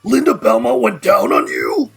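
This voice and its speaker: A man playing an excitable, nosy and dramatic young friend with a gravelly rasp in an audio drama. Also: very high-pitched, normal speed, faint music behind, exaggerated voice. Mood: shocked, incredulous. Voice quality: gravelly, raspy. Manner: incredulous, theatrical. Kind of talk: amateur audio drama acting.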